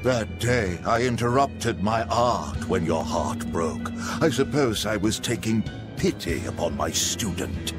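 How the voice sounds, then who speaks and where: A man's voice: lowish voice, lively intonation, a little slow. A man playing a cold, menacing master illusionist with a haughty, theatrical delivery in a game cutscene. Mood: cold threat. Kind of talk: dramatic game villain acting. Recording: loud music under voice.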